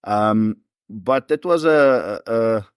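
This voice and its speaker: A man's voice: on the low side, very slow, clear, resonant tone, dry recording, studio quality. A man in his forties with a South African accent, telling stories in an easygoing, conversational way as an interview guest. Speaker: professional hunter and former soldier, interview guest.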